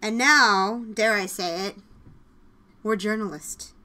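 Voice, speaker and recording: A woman hosting a podcast in casual, chatty style, with an excitable, effusive manner. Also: mid-range voice, takes it slowly. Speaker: podcast host. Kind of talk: casual podcast chat with announcements.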